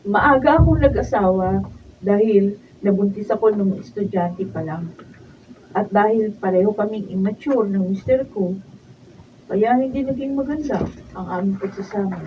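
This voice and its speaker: A woman's voice: mid-range voice, normal speed, lightly acted. A Filipino woman playing an exasperated, nagging young wife and mother in a radio drama, recorded in a noisy room. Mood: regretful. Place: radio drama studio, filmed raw. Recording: muffled, noisy room, distant mic.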